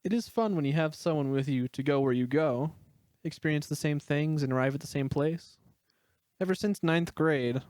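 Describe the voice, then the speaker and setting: A man's voice: mid-range voice, darkish tone, dry recording, close to an everyday voice. A man playing an earnest, humble, brooding teenage brother in an amateur fan-fiction audio story.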